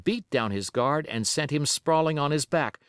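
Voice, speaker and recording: A man narrating an adventure novel as an audiobook, in an expressive, measured, dramatic storytelling style. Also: a little high, clean tone, no echo, studio quality.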